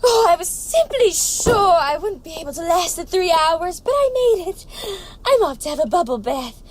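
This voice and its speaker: A woman's voice: extremely high pitch, sing-song, normal speed, darkish tone. A woman playing a weary, fussy lady in a comedy audio drama, gushing and theatrical. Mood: exhausted, distressed.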